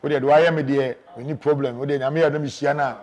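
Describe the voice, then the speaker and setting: A man with a Ghanaian accent and a deep voice, a media personality telling stories in an animated interview and raising his voice for emphasis. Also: fast talker. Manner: switches between calm storytelling and bursts of loud emphasis.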